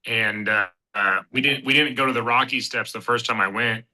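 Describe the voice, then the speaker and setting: A man in his thirties from Kansas City talks loosely as an interview guest. His voice is very bright and ringing at a middling pitch, and he rambles through fillers and restarts. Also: moderate pace, poor audio. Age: 30s. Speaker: guest, bare-knuckle fighter. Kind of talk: casual interview chat.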